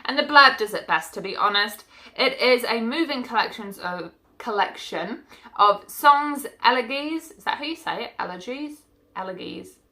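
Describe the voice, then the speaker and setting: Chatty, bright-voiced young woman, stuffy-nosed. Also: on the high side, lively intonation, normal speed. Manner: chatty and rambling, with frequent fillers and asides. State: stuffy-nosed from hay fever. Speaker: book vlogger. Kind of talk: casual chat to camera about books.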